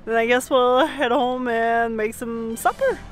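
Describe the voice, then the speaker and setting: A woman's voice: a little high, a little slow. A woman in her thirties chatting casually as she explains boat repair work outdoors in a boatyard, recorded on a camera mic. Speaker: sailing vlogger. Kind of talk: casual vlog narration.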